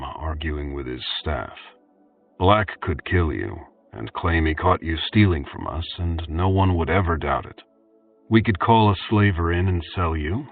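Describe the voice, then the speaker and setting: Man playing a deep, stern fantasy interrogator. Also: far from the mic, phone-quality sound.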